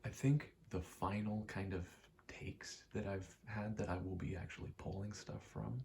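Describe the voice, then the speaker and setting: A man's voice: fairly low pitch, steady tone, normal speed, creaky, a little mellow, a little hiss or hum. A young man giving a casual, self-deprecating ASMR ramble, swearing freely. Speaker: ASMR video creator.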